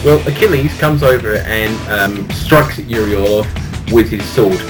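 A man's voice: lowish voice, normal speed, over music. A man hosting a podcast in improvised comic banter, hesitating as he spins mock-epic stories in an amused way. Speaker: podcast host.

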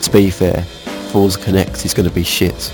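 Male podcast co-host, fairly low voice, casual banter with stuttered repeats, music behind. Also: little pitch movement, moderate pace, a little mellow. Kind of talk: casual banter among friends, with a dramatic reading.

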